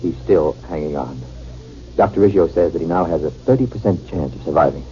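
A man acting in a 1950s radio drama, playing a brisk, respectful assistant doctor. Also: deep voice, warm tone, faint music behind, natural voice. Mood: sober, reassuring. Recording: muffled, noisy 1950s radio recording.

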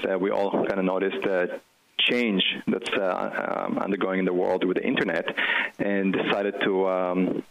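A man's voice: low voice, creaky, highs cut off. A man in his forties with an Israeli accent, a company chief talking as a guest in a casual interview, telling his story with lots of fillers and restarts.

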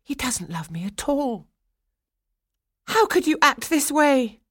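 A woman's voice: medium pitch, steady tone, a little slow, dark tone, husky, no room sound, studio quality, pitched above the performer's own voice. A woman voicing a jealous, heartbroken young bride-to-be in a storybook tale, wounded and dramatic.

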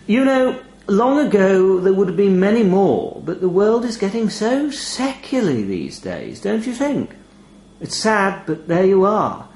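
An ancient raven demon played by a man speaks with the weary pomp of a retiring bishop. The delivery swoops widely in pitch, wistful and clerical, in a roomy, echoing storybook reading. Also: very animated, a little slow, a little mellow, full character voice. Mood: wistful, lofty. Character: ancient male talking toll raven, pompous, clerical, wistful bird demon. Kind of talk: audio short story character dialogue.

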